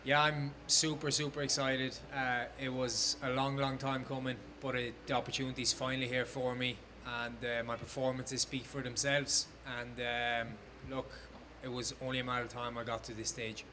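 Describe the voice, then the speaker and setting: A young man from Romania, a fighter introducing himself in a relaxed, casual way. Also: medium pitch, normal speed, hardly any pauses, a little hiss or hum. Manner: relaxed, casual, laid-back slang.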